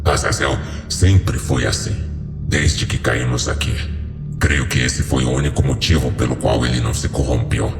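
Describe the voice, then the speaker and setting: A man's voice: rumbling low, fairly even intonation, moderate pace, gravelly, voice pushed down. A man playing an ancient, solemn and commanding fallen being who speaks in stately, cryptic pronouncements in a horror audio story. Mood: solemn, revealing. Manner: solemn, stately, portentous delivery. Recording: heavily reverberant voice over music.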